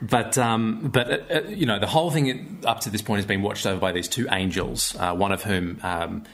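A man explaining things conversationally as an interview guest, thoughtful and often pausing mid-phrase. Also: on the low side, fairly bright tone, slight background noise. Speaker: podcast guest, theatre maker.

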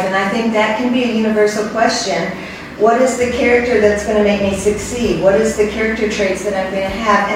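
A woman co-pastor hosting a church women's group discussion, encouraging and affirming as she talks. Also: mid-range voice. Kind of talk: warm church talk. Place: church resource center. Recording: echoey room from a distance, noisy.

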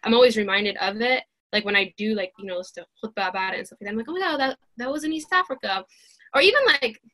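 A young woman from Somalia co-hosting a casual podcast chat, animated and quick. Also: medium pitch. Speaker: podcast co-host.

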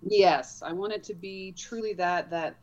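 A woman from the Netherlands with a light Dutch accent, an author chatting in an interview, animated and quick to laugh. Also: lowish voice, dull audio. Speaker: author, guest. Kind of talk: casual interview.

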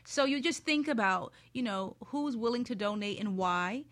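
A woman's voice: fairly high pitch, expressive, moderate pace, fairly bright tone. A woman from Philadelphia giving advice as a panel guest in casual, explanatory talk, encouraging and working through examples. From Philadelphia, United States.

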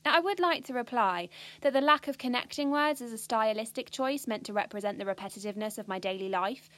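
A woman's voice: a little high, fast, fairly bright tone, natural voice. An actress playing an earnest, bookish, ambitious teenage schoolgirl with a British accent, confiding in a precise way in an audio drama monologue. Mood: matter-of-fact, self-assured.

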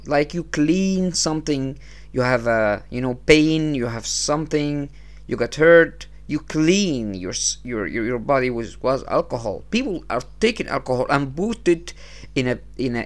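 A man from Egypt who is not an English speaker hosts a podcast, giving a motivational religious talk with plain, repeated phrases. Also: a little high. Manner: unhurried, repeats phrases, non-native English. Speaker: podcast host. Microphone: small low-cost podcast setup.

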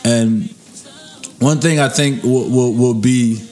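A man's voice: mid-range voice, slow, a little mellow, soft background music. A man in a mid-range voice hosting a casual sit-down interview. He talks loosely and in slang, often restarting his sentences as he goes. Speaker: interview host.

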